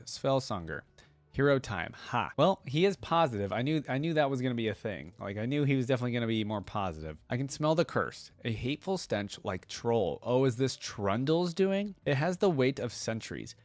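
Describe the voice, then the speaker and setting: A man's voice: mid-range voice, very animated, a little fast, no echo, soft background music. A young adult man hosting a reaction video, reading a story aloud and adding casual, informal commentary.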